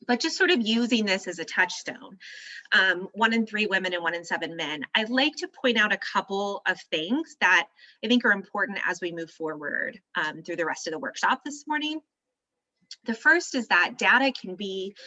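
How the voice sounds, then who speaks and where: Bright-voiced woman, slightly hoarse trainer. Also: medium pitch, dry recording, poor audio. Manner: explanatory, clears throat now and then. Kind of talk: virtual training presentation. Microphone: video call.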